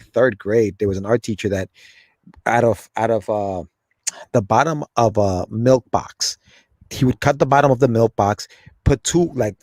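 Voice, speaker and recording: A man in his forties who grew up in Ecuador talks as a guest, rambling through his memories with plenty of restarts and "like"s. His voice sits at an ordinary middle pitch, and the recording is dry and close. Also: darkish tone, no room sound. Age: 40s. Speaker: guest, comic creator. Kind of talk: casual interview chat.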